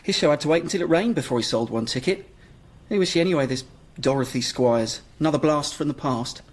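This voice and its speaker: A young box office clerk played by a man speaks in a slightly high voice. He is blunt, matter-of-fact and dismissive, in radio comedy-drama style. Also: quick pace, lightly acted. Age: young adult. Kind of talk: radio play acting.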